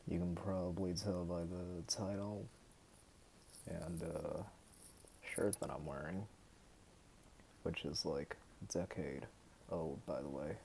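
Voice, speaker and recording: Slow, gravelly young man vlogging. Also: low voice, steady tone, a little hiss or hum, poor audio. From United States. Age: 20s. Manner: hesitant, halting and soft-spoken. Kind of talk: personal talk to camera.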